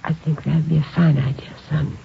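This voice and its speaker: An anxious, contrite mother in an old radio play. Her voice is creaky, and she speaks gently and with hesitation. The recording is muffled with light noise. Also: low voice, flat delivery, moderate pace. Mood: sorrowful, gentle. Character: apologetic, anxious mother of a young boy. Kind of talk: 1950s radio drama acting.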